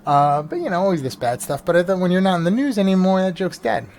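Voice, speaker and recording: Rambling New York comedian with a janky mic. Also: male voice, high voice, quick pace. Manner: animated, rambling with frequent restarts and fillers. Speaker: stand-up comedian and podcast host. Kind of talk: casual rambling vlog podcast. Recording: faint engine noise behind. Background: engine noise.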